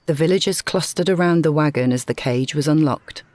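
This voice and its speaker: Female story narrator, low register, scripted dramatic prose, close dry recording. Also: fairly quick, a little mellow, no echo.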